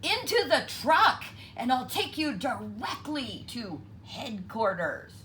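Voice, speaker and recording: A woman's voice: a little high, lively intonation, takes it slowly, a bit bright, a little hiss or hum, voice pushed up. A woman voicing a greedy, sly male fox villain from a storybook, gloating and scheming. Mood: falsely official. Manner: gloating, scheming, chuckling over victims.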